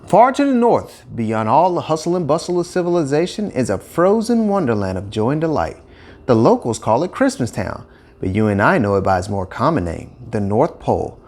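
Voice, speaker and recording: A man narrating a scripted children's story with expressive, emphatic phrasing. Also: mid-range voice, moderate pace.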